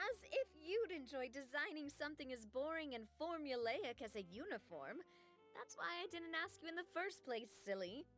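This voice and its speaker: An actress playing a bold, fiercely loyal young female gang leader who talks in an animated, protective way. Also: sits high, soft background music. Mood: confident. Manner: animated and protective, quick to anger, laughs it off. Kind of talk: game voice acting.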